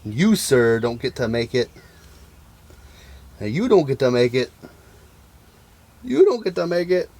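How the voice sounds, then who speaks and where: A man with a raspy voice from being sick, chatting upbeat to viewers while walking through a small backyard greenhouse. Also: medium pitch, very animated, measured pace, pauses often, darkish tone. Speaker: urban homestead vlogger. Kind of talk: casual walkthrough vlog.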